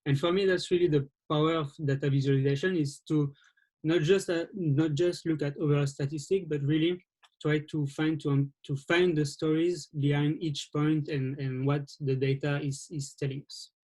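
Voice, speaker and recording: A man from France with a French accent, giving a presentation over a video call and talking in a halting way with frequent restarts. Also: fairly high pitch, fairly even intonation, darkish tone, rough recording. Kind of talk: webinar presentation.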